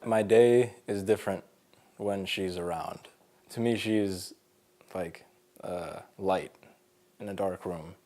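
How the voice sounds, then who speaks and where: A man in his twenties from Colorado, talking thoughtfully in a casual interview, pausing with fillers as he finds his words. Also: sits low, fairly even intonation, mellow. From Colorado, USA. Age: 20s. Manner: thoughtful, hesitant, many fillers. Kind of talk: casual interview, personal story.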